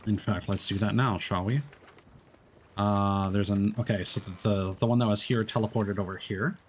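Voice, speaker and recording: Low-voiced man chatting through gameplay. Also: steady tone, moderate pace, a little hiss or hum. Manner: rambling, many fillers and restarts. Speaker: streamer. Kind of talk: casual gameplay commentary.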